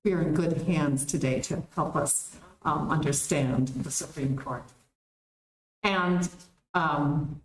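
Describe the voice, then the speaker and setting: A woman organizer making announcements and introducing a guest speaker, informal with frequent ums. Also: deep voice. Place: community meeting hall. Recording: roomy hall.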